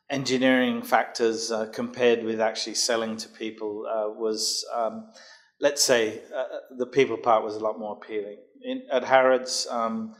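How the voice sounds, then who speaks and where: A man in his sixties from Jamaica, a podcast guest speaking in a measured way, often pausing with ums and uhs. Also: lowish voice. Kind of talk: podcast interview, recounting his career.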